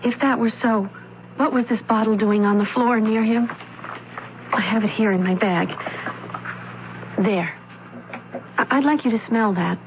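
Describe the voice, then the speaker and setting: An actress playing an earnest, anxious young opera student in an old-time radio mystery, with careful, dramatic diction. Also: female voice, on the high side, measured pace, long pauses. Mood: earnest, uneasy. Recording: narrow, tinny old radio recording, hiss.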